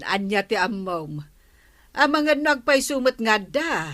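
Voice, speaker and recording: A woman's voice: a little high, very animated, unhurried, a bit bright. A woman with an Ilocano Filipino accent acting a curious, questioning villager in a comedy radio drama. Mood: doubtful.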